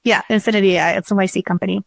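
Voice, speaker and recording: A woman with an average-pitched voice talks as a guest in a casual interview. The sound is dry and close, and her manner is informal and explanatory. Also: steady tone, rapid-fire, gravelly, dry recording. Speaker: podcast guest, tech startup CEO.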